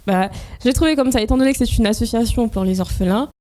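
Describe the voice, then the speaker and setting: A woman's voice: on the high side, fast talker, dark tone. A woman from Guinea whose first language is Fula, talking as an interview guest in a casual, hesitant way with many fillers. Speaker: interview guest, NGO founder.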